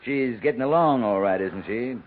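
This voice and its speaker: An actor playing a wary, skeptical cavalry captain with a dry, clipped, sardonic delivery in a 1950s western radio drama. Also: male voice, medium pitch, normal speed, lightly acted. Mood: wary, insistent. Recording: tinny, noisy 1950s radio recording.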